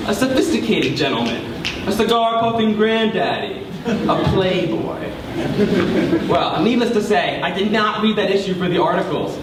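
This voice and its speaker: A young man performing theatrical spoken word poetry on stage, with dramatic, deliberate phrasing and drawn-out emphasis. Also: high-pitched, expressive. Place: large room before a live audience. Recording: echoey hall, distant mic, clear background noise.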